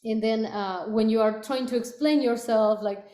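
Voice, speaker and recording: A woman's voice: on the high side, fairly even intonation, moderate pace, warm tone. A woman with a Spanish accent, a native Spanish speaker from Latin America, speaking as a product leader on a panel, hesitant with many fillers and restarts. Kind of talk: panel conversation. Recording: echoey room.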